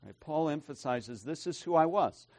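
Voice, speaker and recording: A man preaching an expository sermon, emphatic and tagging his points with "right?", his loudness swinging from soft asides to forceful declarations. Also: fairly high pitch, animated, normal speed, no echo. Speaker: pastor.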